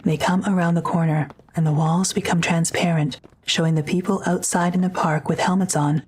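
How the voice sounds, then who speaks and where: A woman's voice: lowish voice, steady tone, fairly quick, darkish tone, husky. A woman reading scripted narration for an audio drama, describing the action as narrator.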